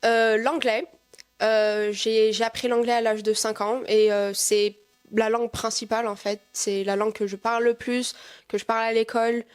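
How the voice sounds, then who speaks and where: Soft-spoken 18-year-old woman guest. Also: on the high side, level tone, normal speed, clear, resonant tone. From France. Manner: thoughtful, hesitant with fillers. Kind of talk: conversational interview answers.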